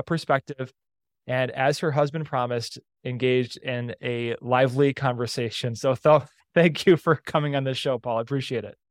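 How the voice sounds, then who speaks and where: A man hosting a real estate podcast, introducing and interviewing a guest in an upbeat, energetic way. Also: mid-range voice, dry recording, studio quality. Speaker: podcast host.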